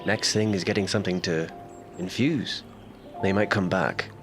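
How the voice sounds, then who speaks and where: A man playing in a tabletop game, talking in a lively, animated way as he plans with the group. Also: deep voice, moderate pace. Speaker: player. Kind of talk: tabletop roleplay chat. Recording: music underneath.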